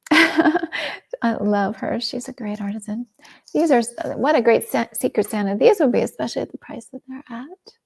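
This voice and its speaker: A middle-aged woman hosting a shopping livestream, chatting enthusiastically and dropping into soft asides. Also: a little high, moderate pace, creaky, husky. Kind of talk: casual product presentation.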